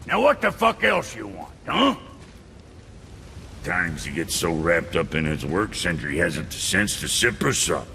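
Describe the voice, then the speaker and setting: A cantankerous game blacksmith whose voice leaps wildly up and down with a sharp, gravelly edge. He is crude and irascible, grumbling in colourful bursts. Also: low-pitched, sing-song, unhurried, long reverb tail, slight background noise, full character voice. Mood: exasperated. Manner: irascible, colourful, swooping delivery. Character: crude, cranky, foul-mouthed blacksmith with grudging kindness. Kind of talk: video game cutscene acting.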